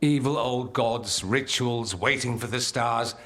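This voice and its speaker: A man's voice: mid-range voice, a little slow, fairly bright tone. An actor playing a suave, theatrical nobleman in a video game, a sardonic and articulate reformer with a flamboyant manner. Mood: wry, pleased. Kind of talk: video game dialogue acting.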